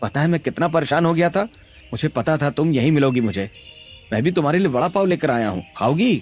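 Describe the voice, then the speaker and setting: An earnest young tea-seller in love, voiced by a male narrator. He speaks sincerely and reassuringly in a slightly high male voice, in an animated story with music behind. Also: fast. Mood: relieved.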